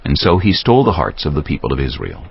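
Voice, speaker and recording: Very deep, gravelly male Bible narrator. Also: wildly swooping pitch. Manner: solemn, measured storytelling. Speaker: narrator of a dramatized audio Bible. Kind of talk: scripted dramatic scripture narration. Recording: dull lo-fi audio with background music.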